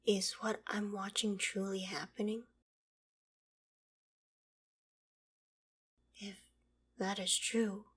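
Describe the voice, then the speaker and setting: A woman playing an ancient female dragon in an ASMR roleplay, proud and curious, speaking in a measured, formal, archaic way. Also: mid-range voice, slightly rough. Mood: baffled, awed. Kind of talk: ASMR roleplay audio drama, addressing the listener.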